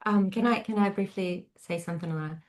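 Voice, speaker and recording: A woman's voice: medium pitch, a little fast. A woman from Germany speaking off the cuff as a panelist in an online discussion, discursive, often checking in with "right?" tags. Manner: discursive, frequent "you know" and "right?" tags. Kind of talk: impromptu webinar discussion. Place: indoor room, online video call. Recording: echoey room over online call.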